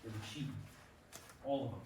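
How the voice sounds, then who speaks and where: A man's voice: medium pitch, fairly quick, warm tone, close to an everyday voice. A man in a staged play reading playing an earnest young baseball player, anguished, conflicted and weary. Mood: torn, low. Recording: very echoey hall, distant mic, faint noise.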